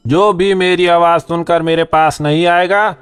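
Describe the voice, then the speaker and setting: A gruff, bossy bully of a donkey, as a woman narrator drops her voice low to play him. He talks slowly and with weight, throwing his threats around. The voice rings with a long reverb over soft background music. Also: fairly even intonation, lightly acted. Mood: commanding. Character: bullying male donkey, boastful and rude.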